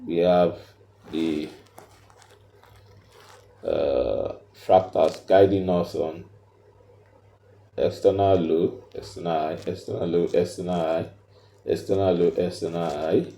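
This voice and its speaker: A man giving an unscripted step-by-step tutorial in a halting, repetitive, instructional way, recorded in an echoey room. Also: sits low, steady tone, warm tone. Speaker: trading tutorial presenter.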